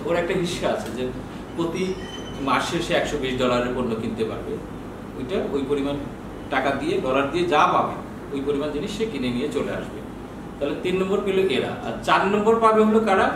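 A Bangladeshi man in his sixties explaining procedures in an informal lecture, often posing questions and answering them himself. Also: a little high. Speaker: customs bond official giving training. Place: small office with bookshelves, indoors. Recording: very echoey, distant mic, noisy room.

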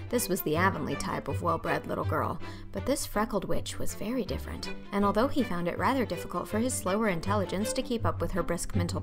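A young adult woman reading scripted story narration as an audiobook narrator. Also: lowish voice.

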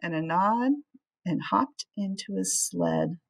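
A middle-aged woman reading a children's storybook aloud expressively, with measured, deliberate phrasing, as a teacher would. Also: mid-range voice, vocal fry, husky.

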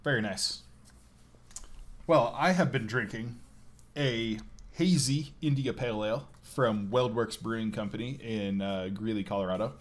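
A man's voice: fairly low pitch, measured pace. A man co-hosting a podcast, chatting casually in an easygoing, hesitant way. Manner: easygoing, hesitant, many ums and uhs. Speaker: podcast co-host. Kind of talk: casual chat. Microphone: video call.

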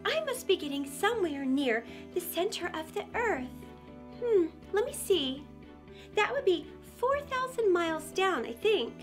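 A woman acts out a chatty little girl from a children's storybook. The voice is high and swoops expressively as she wonders aloud to herself in earnest. Faint music plays behind. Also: sing-song, unhurried, full character voice. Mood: pondering.

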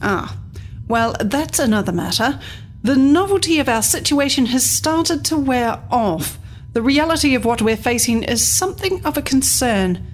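Woman as cool executive, high voice, direct, guarded, blunt, audio drama, faint rumble behind.

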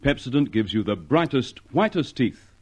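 A man delivers a scripted 1950s radio announcement. His pitch moves with great animation around a middle register, and his selling is polished and emphatic. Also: very animated, normal speed, slightly rough. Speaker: commercial and credits announcer.

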